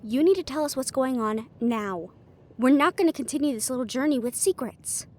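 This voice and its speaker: A woman's voice: high-pitched, expressive, moderate pace. A woman playing a blunt, protective young teammate in a fantasy audio roleplay, frank and sardonic in delivery. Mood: insistent, concerned.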